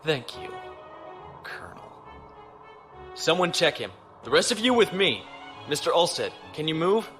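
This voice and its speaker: A man's voice: on the high side, very animated, a little slow, pauses often, sharp tone, faint music behind, exaggerated voice. A man acting a stern, decisive young starship captain in a sci-fi audio drama. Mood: brisk command.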